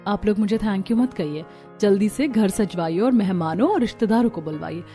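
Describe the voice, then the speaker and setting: A woman's voice: medium pitch, normal speed, mellow, faint music behind, lightly acted. A woman playing a caring, dutiful young sister-in-law in a cartoon family story. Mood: bright, organizing.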